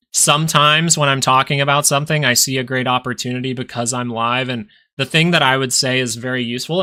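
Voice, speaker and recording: A man's voice: mid-range voice, bright, forceful tone. A young man with a nasal voice hosting a live stock market stream, thinking aloud in casual, rambling talk with frequent restarts. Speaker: stock market livestream host. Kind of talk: live market commentary and chat with viewers.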